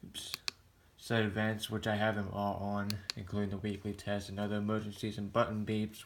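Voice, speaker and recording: A man's voice: fairly low pitch, noisy background. A man casually reviewing gadgets for a hobby video in a hesitant, rambling, plain-spoken way. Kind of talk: casual product review to camera.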